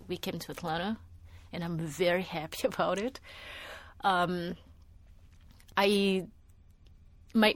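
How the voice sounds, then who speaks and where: A woman's voice: fairly low pitch, dry recording. A middle-aged woman from Brazil, a media artist answering interview questions in a thoughtful way, with many restarts and fillers. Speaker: media artist and former art teacher.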